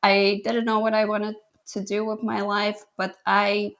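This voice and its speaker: A woman's voice: mid-range voice, monotone, unhurried, a bit bright. A woman in her twenties from Latvia, a guest photographer telling her story in a modest, laughing way. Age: 20s. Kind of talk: casual interview chat.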